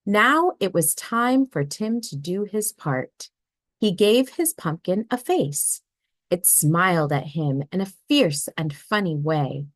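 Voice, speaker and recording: A woman reads a children's picture book at an unhurried pace. Her voice rises and falls in a lively way. The sound is dry and close to the mic. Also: fairly low pitch, no room sound. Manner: expressive, storybook tone. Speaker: read-aloud narrator.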